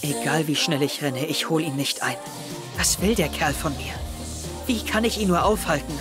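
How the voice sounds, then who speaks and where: A man's voice: a little high, moderate pace, over loud music, natural voice. A young man playing an anxious teenage schoolboy detective in an audio drama, intense and confiding. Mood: desperate. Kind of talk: German audio drama acting, close narration.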